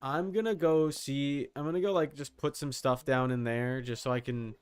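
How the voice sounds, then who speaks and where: A man's voice: mid-range voice, steady tone, normal speed, clear, resonant tone. A man giving casual livestream commentary on a game, thinking aloud in slangy language with some swearing. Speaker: livestream host.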